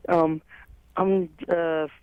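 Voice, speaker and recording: A man's voice: fairly high pitch, flat delivery, slight background noise. Hesitant teenage boy caller with a cold. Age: teens. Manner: hesitant, halting, full of fillers. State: has a cold. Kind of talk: phoned-in question. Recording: dry, muffled phone line.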